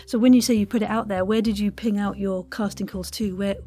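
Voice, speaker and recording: Effusive woman hosting, mid-pitched. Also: steady tone, brisk, mellow. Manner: effusive, encouraging, interjecting approval. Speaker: podcast interviewer, host. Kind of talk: casual interview chat.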